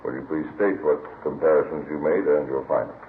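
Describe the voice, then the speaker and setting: Deep-voiced prosecutor, old radio. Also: male voice. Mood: formal, probing. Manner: formal, deliberate courtroom questioning. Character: prosecuting attorney, methodical formal man examining witnesses. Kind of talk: 1950s radio drama acting. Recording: old radio recording, narrow, noisy, echoey.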